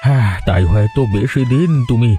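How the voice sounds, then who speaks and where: A man's voice: medium pitch, a little fast, dark tone, soft background music, close to an everyday voice. A man voicing a frail, resigned old village eatery keeper in a cartoon, with a plaintive manner. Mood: sad, worried. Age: older. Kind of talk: cartoon voice acting.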